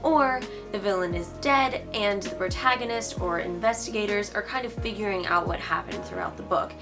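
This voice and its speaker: A woman's voice: medium pitch, normal speed, gravelly. Sarcastic woman host over prominent music. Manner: sarcastic, informal. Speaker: host of a writing advice channel. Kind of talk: opinionated video commentary, semi-scripted.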